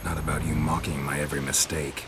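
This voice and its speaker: A very low-voiced, gruff monster hunter from a fantasy video game. He is weary and sardonic, speaking in short deadpan phrases with a gravelly edge. Clear ambient noise runs behind the voice. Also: fast. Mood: wry. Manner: dry, deadpan, laconic.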